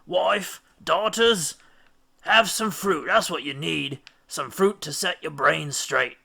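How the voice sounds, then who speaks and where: A man's voice: fairly high pitch, takes it slowly, vocal fry, pushed and bright, slightly rough, heavily acted. A man voicing a dazed male sailor, drunk on lotus fruit, slurring and wheedling in a storybook reading.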